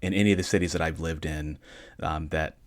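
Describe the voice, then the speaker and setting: Calm deep-voiced man giving advice. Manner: calm, measured advice with dry deadpan humor. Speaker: podcast host answering listener questions. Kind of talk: casual solo podcast talk.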